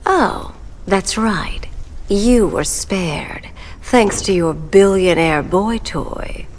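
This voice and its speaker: A woman's voice: mid-range voice, drawn-out words. A woman playing a seductive, cruel plant villainess, languid and taunting, in a video game cutscene. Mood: mocking sweetness. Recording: music behind the voice.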